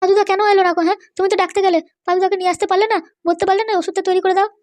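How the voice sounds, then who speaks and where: An anxious older cartoon parent played by a woman pleads in an extremely high, squeaky voice. The words rush out insistently on a flat, unvarying note, plaintive and always pressing for agreement. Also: rapid-fire. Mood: urgent, insistent. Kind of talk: amateur cartoon dialogue.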